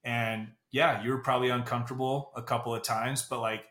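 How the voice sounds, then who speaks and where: An earnest man hosts a casual podcast chat, often checking in with "right?" and calling his guest "bro". His voice is bright and forceful. The recording has a noticeable roomy echo. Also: mid-range voice, level tone. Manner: earnest, fast, says "bro" and "right?" a lot. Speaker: podcast host.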